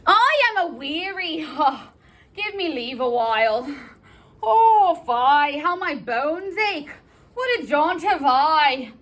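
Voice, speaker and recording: A woman's voice: high-pitched, animated, slow pace, fairly bright tone, voice pushed up, heavily acted. A woman voicing a weary, teasing old nurse with groaning, dawdling, melodramatic complaints in stage verse. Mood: weary, complaining.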